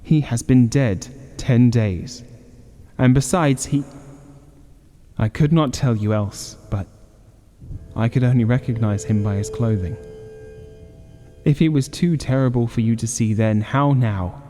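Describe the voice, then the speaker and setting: A man's voice: medium pitch, unhurried, long pauses, mellow, natural voice. Shaken old man, voiced by a reader, reverberant. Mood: shaken, fearful. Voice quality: shaky, unsteady. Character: weary, shaken old man, gentle fearful husband.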